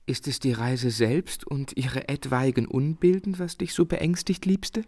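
An actor playing a brooding, devoted young painter in a literary radio drama, soft, earnest and romantic in manner. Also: male voice, medium pitch, a little slow. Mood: gentle concern.

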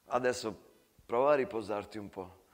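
A man's voice: lowish voice, moderate pace, lightly acted. A man playing a fatherly army captain in a stage drama, speaking plainly and directly. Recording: long reverb, loud guitar music behind.

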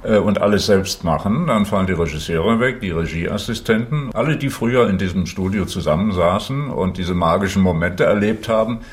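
A man's voice: low voice, animated, a little fast, few pauses. A man speaking reflectively as a radio feature's author, in a conversational way.

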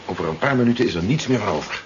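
A man's voice: lowish voice, lively intonation, very fast, close to an everyday voice. A man playing a resolute, authoritative resistance leader in a 1970s radio drama. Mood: grave, matter-of-fact. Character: resolute, commanding male leader of a resistance war. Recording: muffled 1970s radio recording, noisy.

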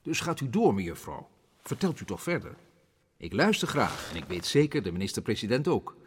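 A man playing a courteous, attentive gentleman with a formal, polite manner in a Dutch radio play. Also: medium pitch, very animated, brisk, slight background noise, natural voice. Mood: polite, encouraging.

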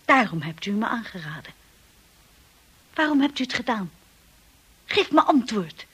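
An actress playing an anxious, timid young wife in a radio drama, earnest and breathy. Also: female voice, on the high side, sing-song, unhurried, fairly bright tone, highs cut off, exaggerated voice. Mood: hurt, indignant. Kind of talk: radio drama acting with narration. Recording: hissy old radio play recording.

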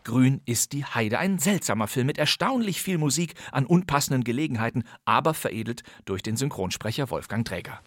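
A man's voice: mid-range voice, animated, fairly quick, hardly any pauses, no room sound. Male, podcast host, wry, emphatic, scripted presenting, very dry recording.

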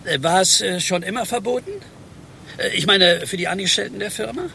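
A man's voice: high voice, a little fast, gravelly, bright, forceful tone, slight background noise, heavily acted. An actor playing a nervous, easily flustered programmer in a radio play, stammering and hesitating as he talks. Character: nervous, easily flustered male programmer, guilty secret smoker. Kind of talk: German radio play acting.

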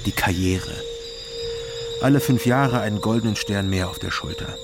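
An actor playing a sober, wry private detective who narrates a crime radio drama in a laconic, dry manner. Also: male voice, lowish voice, normal speed, noisy background, lightly acted. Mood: dry, reflective. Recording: music under the voice.

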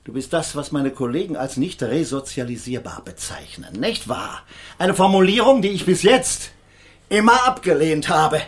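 Radio thriller: male actor as grave, embittered lawyer, older, higher-set voice, moralizing, deliberate delivery. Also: animated, natural voice. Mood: stern, indignant. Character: stern, bitter idealist lawyer, driven to vengeance. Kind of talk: German radio crime drama acting.

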